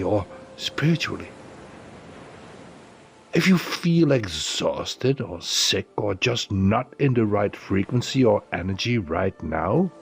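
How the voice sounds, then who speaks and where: A man narrating a guided meditation in a soothing, deliberate way, leaving pauses between phrases. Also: on the low side, vocal fry.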